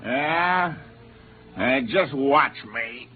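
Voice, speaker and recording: An actor playing a loud, crude, belligerent drunk spaceman in an old radio drama, shouting and taunting. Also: mid-range voice, animated, drawn-out words, heavy background noise. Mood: sneering, defiant. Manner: rowdy shouting and drawling taunts. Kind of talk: 1950s radio drama acting. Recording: tinny band-limited old radio recording.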